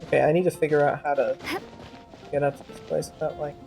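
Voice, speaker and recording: A woman's voice: low voice, level tone, quick pace, vocal fry, dark tone, faint music behind. A woman streaming a game, giving casual commentary in a wry, argumentative manner.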